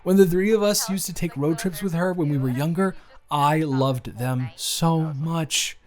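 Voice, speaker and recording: A man's voice: fairly high pitch, a little slow, close to an everyday voice. A young man playing a teasing, affectionate best friend of someone's brother in a casual, slangy romantic roleplay audio drama. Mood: fond teasing.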